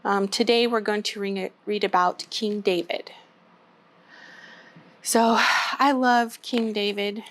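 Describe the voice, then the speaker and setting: A woman's voice: mid-range voice, steady tone, slow, long pauses, a bit bright. A woman hosting a children's storytime, reading a storybook aloud in simple language aimed at young children. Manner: simple, child-directed address. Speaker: children's storytime host at a church.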